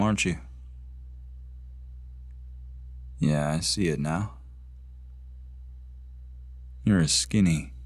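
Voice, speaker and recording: An actor playing a bitter, bigoted young man who wants to join a space marine corps, with an intense, indignant delivery and heavy pauses in a radio drama monologue. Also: fairly low pitch, no room sound, lightly acted. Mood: suspicious, menacing. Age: young adult.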